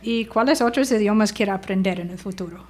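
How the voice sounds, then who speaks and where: A woman's voice: medium pitch, a little fast. A woman hosting a podcast who interviews her guest with short, encouraging prompts and questions. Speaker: podcast host.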